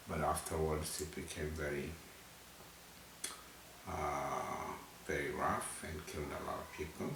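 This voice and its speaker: A man, answering interview questions in a halting way with frequent restarts. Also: very deep voice. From Ethiopia. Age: 60s. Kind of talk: oral history interview. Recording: roomy, distant mic, background noise.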